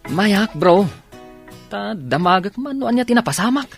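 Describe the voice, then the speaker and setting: A man's voice: sits high, expressive, moderate pace, gravelly, faint music behind, pitched above the performer's own voice. A man with an Ilocano accent playing a teasing, easygoing buddy in a radio comedy drama.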